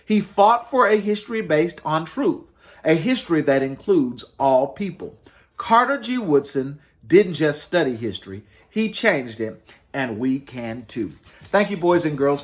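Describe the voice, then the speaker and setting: Man reading a children's story, very animated intonation. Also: on the high side. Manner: deliberate, emphatic storytelling with dramatic rises and falls. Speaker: host reading stories to children.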